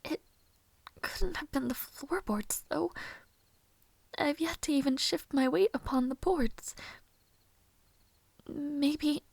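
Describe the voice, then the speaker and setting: A woman voicing a gentle, anxious, lovelorn young maid in an intimate fantasy audio roleplay, speaking softly and breathily with hesitant, old-fashioned formal phrasing. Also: high-pitched, takes it slowly, pauses often, no room sound, heavily acted. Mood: uneasy, nervous. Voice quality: soft, breathy, often near whisper.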